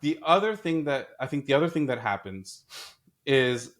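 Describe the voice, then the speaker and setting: A man in a casual discussion, talking in an animated way and telling stories with acted quotes. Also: medium pitch, moderate pace. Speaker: podcast host.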